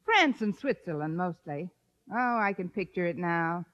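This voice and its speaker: An actress playing a wry, quick-witted schoolteacher in a 1950s radio sitcom, with dry wisecracking timing. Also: female voice, on the low side, lively intonation, dull audio, natural voice. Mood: eager, playful.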